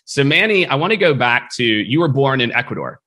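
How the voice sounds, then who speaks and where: A man hosting an interview podcast, energetic and loose, asking long rambling questions full of fillers. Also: medium pitch, a little fast. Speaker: podcast host. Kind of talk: conversational interview.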